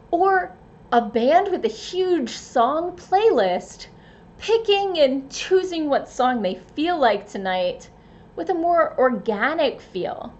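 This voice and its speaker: High-pitched, expressive woman presenting tips. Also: highs cut off. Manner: expressive, careful emphatic delivery with playful asides. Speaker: writer and presenter giving tips. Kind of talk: scripted advice narration.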